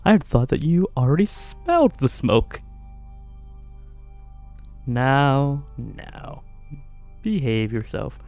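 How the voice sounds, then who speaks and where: A man playing a slow, silky evil sorcerer. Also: medium pitch, sing-song, soft background music. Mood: calm menace. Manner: slow, silky, condescending, softly threatening. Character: menacing evil sorcerer warlord, falsely gentle, gloating captor. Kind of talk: fantasy ASMR roleplay acting.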